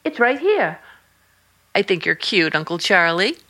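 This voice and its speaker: An actress playing an eager, adoring and sensitive young niece in an old-time radio drama. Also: female voice, on the low side, big pitch swings, unhurried, sharp tone, full character voice.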